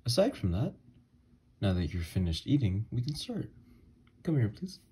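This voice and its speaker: A man voicing an obsessed, unhinged kidnapper scientist in an ASMR horror roleplay, soft and breathy, coaxing gently with quiet menace. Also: on the low side, big pitch swings, normal speed, exaggerated voice. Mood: eerily calm. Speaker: ASMR audio roleplay voice actor. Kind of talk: ASMR horror roleplay monologue, partly whispered.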